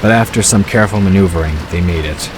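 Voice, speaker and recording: A man's voice: low voice, fast, background noise. A man reading scripted story narration as the narrator of an audio drama. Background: rain and vehicle sound effects.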